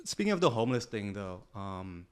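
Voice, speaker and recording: A man co-hosting a casual podcast chat, laid-back and blunt, often chiming in with quick agreement and the odd curse. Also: lowish voice, sing-song, crowd noise. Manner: blunt, laid-back, frequent agreeing backchannels, occasional swearing. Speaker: podcast co-host.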